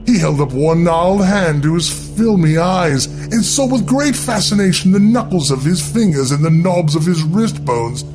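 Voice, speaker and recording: Male horror storyteller: higher-pitched voice, deliberate theatrical delivery, very animated intonation, over music. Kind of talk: dramatic scripted horror narration. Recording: music bed under the voice.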